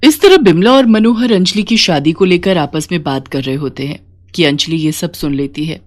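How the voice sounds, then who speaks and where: A woman's voice: on the low side, moderate pace. A woman storyteller reading a scripted moral tale.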